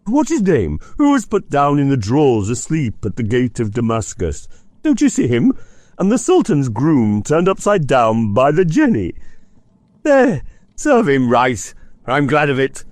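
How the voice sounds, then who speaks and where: A man voicing an elderly miser, with a strained high voice caught between laughing and crying. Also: a little slow, hardly any pauses, darkish tone, no room sound. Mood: ecstatic. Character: excitable old miser man reliving childhood.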